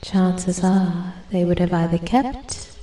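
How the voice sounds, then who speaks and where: A woman voicing an ancient female forest spirit in soft-spoken ASMR roleplay, breathy and often whispering, formal, intrigued and quietly menacing. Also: lowish voice. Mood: calm, imperious. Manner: slow, deliberate, archly formal. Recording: echoey, with steady ambient forest noise. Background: forest ambience, birds and animal sounds.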